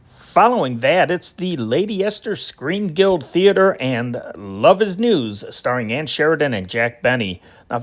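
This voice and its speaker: An American man in his fifties hosting a vintage radio show, explaining things in an informal, conversational way with chatty asides. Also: mid-range voice. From United States, Chicago area. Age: 50s. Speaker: host of a vintage radio podcast.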